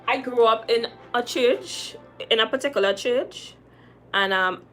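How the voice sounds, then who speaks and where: A young adult woman with a Trinidadian accent, chatting casually on a livestream, reading viewer comments and repeating her phrases for emphasis. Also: a little high. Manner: chatty and lively, repeating phrases, calling viewers girl and sis. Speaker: makeup artist hosting a livestream.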